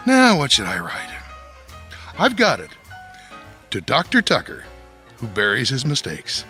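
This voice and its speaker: A smug gossip writer in a radio mystery drama. He is theatrical and sarcastic, with pitch that swoops in a very lively way as he needles people. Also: unhurried, soft background music, full character voice. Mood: cruel, sardonic. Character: smug, sneering male gossip author taunting old acquaintances.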